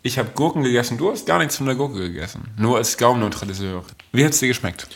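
A man's voice: medium pitch, fast talker, vocal fry. A man hosting a podcast in casual, comedic chat, riffing playfully in a rambling way. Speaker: podcast host.

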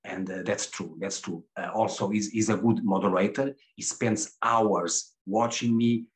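A man's voice: on the low side, fairly even intonation, unhurried. Hesitant middle-aged man, stumbling and repeating. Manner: hesitant, restarts and repeats words often. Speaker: webcam broadcaster, guest. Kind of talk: candid interview answers.

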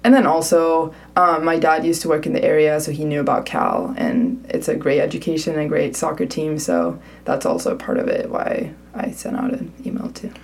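A young Swedish woman talks easily as a podcast guest, her voice gravelly with fry. English is her second language, and her phrases fade off at the ends. She sits a little back from the microphone. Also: sits low, normal speed, few pauses, a little mellow, distant mic. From Sweden. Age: young adult. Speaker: college soccer player, guest. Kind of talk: casual interview chat.

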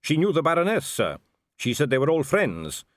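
Male narrator as a timid guard captain, hesitant, respectful, pleading, swooping pitch, very dry sound. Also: huge pitch swings, normal speed, no echo. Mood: nervous, faltering. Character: nervous, deferential male guard captain, anxious and yielding.

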